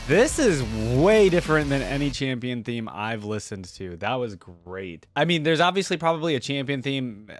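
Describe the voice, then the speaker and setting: A man's voice: medium pitch, very animated, normal speed, few pauses. A man hosting a reaction video in a casual, slangy, chatty and enthusiastic way. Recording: music playing behind the voice. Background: music from the videos being watched.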